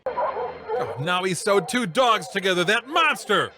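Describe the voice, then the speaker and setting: Lively-voiced wisecracking man riffing a film. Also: high-pitched, a little slow, music in the background. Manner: wisecracking, mock-indignant quips. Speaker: movie riffer. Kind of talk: comedic riffing over a film.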